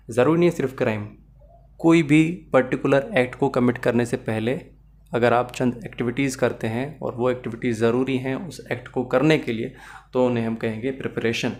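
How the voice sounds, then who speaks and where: Man, Pakistani accent, medium pitch, law lecturer, didactic delivery, code-switching with English, explanatory. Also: a little mellow. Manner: code-switches between Urdu-Hindi and English.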